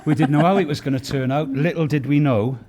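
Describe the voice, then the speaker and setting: A man's voice: fairly high pitch, fast, warm tone. A middle-aged or older man, a stage actor, giving chatty interview answers backstage. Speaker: pantomime actor, award winner. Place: backstage at an awards venue.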